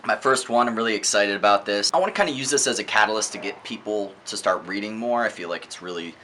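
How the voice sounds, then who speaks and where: A man with a slightly low, sharp and forceful voice talks casually as a vlog host. He is emphatic and opinionated, repeats words to drive them home and swears freely. Faint noise sits behind the voice. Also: fast talker. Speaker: vlog host reviewing books. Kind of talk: casual opinionated video monologue.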